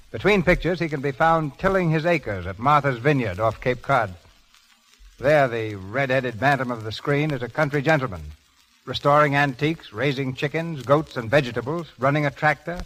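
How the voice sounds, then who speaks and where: A man's voice: medium pitch, fairly bright tone, low-quality recording. A man delivering scripted announcements and narration in a 1930s radio show style, on an old broadcast recording.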